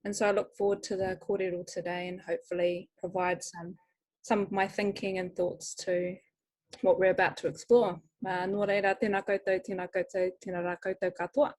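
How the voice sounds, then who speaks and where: A woman, introducing herself in a measured way with pauses, on a video call. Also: fairly low pitch, flat delivery, darkish tone, no room sound, rough recording. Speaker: panelist, researcher.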